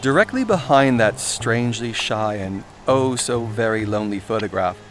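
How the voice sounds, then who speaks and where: A man's voice: mid-range voice, lively intonation, normal speed. A man reading his own irreverent self-help audiobook in a theatrical, cheeky, conversational way, with sweary asides. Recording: voice over background music. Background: composed music and soundscape.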